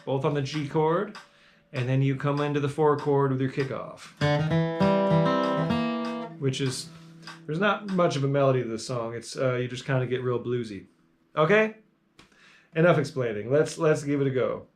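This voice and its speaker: A man teaching a live bluegrass jam lesson, calmly explaining the arrangement step by step and counting the band in. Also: mid-range voice, moderate pace, long pauses. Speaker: guitar teacher leading a jam. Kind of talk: live online music lesson. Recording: loud bluegrass guitar playing along.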